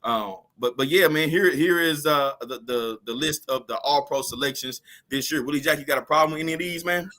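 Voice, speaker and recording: Slightly hoarse man, casual sports talk. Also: fairly high pitch, fairly quick, hardly any pauses, fairly bright tone. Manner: loose, repeats words, lots of "you know what I mean". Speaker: sports podcast co-host.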